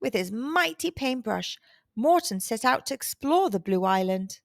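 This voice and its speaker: High-pitched, animated woman storyteller, slow pace. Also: creaky, no echo, clean studio sound. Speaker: children's storytime host and narrator. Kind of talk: expressive read-aloud storytelling for young children.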